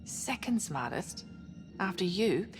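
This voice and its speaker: A female spaceship crew member with a medium-pitched voice. It rings in long hall reverb with faint music, like a sci-fi game cutscene. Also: very animated, takes it slowly. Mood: helpful. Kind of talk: cinematic game acting.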